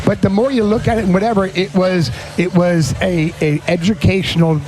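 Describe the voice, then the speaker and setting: A man speaking as a podcast host, casual and reflective, halting and repeating words as he builds a point. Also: sits high, fairly even intonation, darkish tone, low-quality recording. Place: live podcast at a restaurant.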